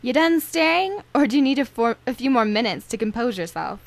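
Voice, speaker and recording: A woman's voice: on the high side, expressive, brisk, creaky, no room sound. A woman playing a sassy, street-smart femme fatale in a radio detective drama, teasing and bold. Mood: teasing, flirty. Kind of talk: old-time radio detective drama acting.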